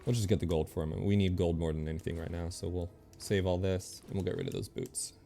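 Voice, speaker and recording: A man streaming a video game, thinking aloud in easygoing casual chat and reading game text out loud. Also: low voice, little pitch movement, quick pace, vocal fry, faint music behind.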